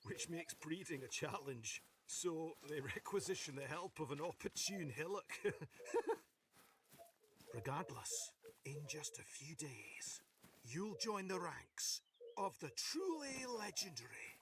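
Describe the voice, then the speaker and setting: An actor playing a theatrical podcast host and hunting guide in a video game, grand and playful in manner. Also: male voice, on the high side, sing-song, takes it slowly, far from the mic, background noise. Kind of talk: scripted game narration.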